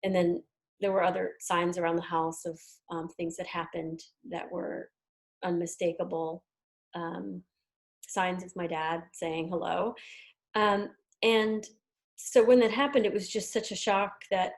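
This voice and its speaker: A woman from New York with an American accent, talking casually as an interview guest, chatty with hesitant pauses. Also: on the low side, fairly even intonation. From New York, United States. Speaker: interview guest, tarot deck creator and artist.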